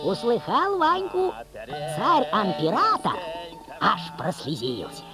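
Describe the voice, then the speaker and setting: A woman playing a folksy fairy-tale storyteller, narrating theatrically in an expressive, singsong rustic manner. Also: medium pitch, slow, exaggerated voice. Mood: folksy storytelling. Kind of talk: theatrical folk-dialect storytelling. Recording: music behind the voice.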